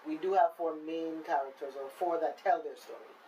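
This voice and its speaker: A woman's voice: low voice, steady tone, normal speed, dark tone. A woman speaking as a studio guest, explaining things in casual talk. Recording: dull, slightly distant mic with noise.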